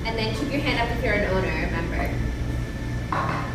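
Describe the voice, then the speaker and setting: A woman's voice: mid-range voice, animated, moderate pace. A woman giving a casual talk to a small group, chatty and informal, with a lot of fillers. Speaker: guest speaker. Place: room with a small audience. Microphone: room mic, no handheld mic. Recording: echoey room from far off, background noise.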